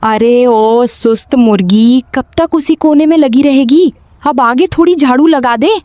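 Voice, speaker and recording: A woman playing a scolding village mother-in-law in an audio horror story, with sharp, dramatic delivery. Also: high voice, measured pace, no room sound.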